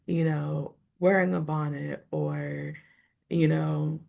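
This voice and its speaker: Woman guest on a podcast, low voice w some fry, laid back. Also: slow pace. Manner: relaxed, laughing easily. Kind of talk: casual podcast chat.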